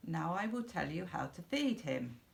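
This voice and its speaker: A woman voicing a careful male pet shop keeper in a children's storybook, giving measured, cautionary instructions. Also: on the low side, sing-song, gravelly, pitched below the performer's own voice, full character voice.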